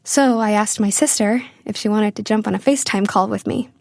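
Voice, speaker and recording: A woman's voice: medium pitch, fairly quick, creaky, a little mellow, no echo, lightly acted. An actress playing a wistful, wry young woman far from her family, telling her story conversationally in an audio drama monologue. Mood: wry, lonely. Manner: conversational, confiding.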